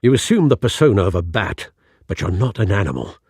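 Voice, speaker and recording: A man voicing a fatherly older butler in a video game, formal, measured and gently reproving. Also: lowish voice, very animated, breathy edge, no echo, studio quality.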